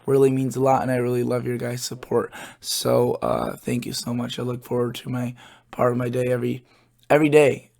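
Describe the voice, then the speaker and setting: A man hosting his weather channel, his voice a bit hoarse, explaining forecast charts in a casual, halting way with lots of filler words. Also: mid-range voice, flat delivery, darkish tone.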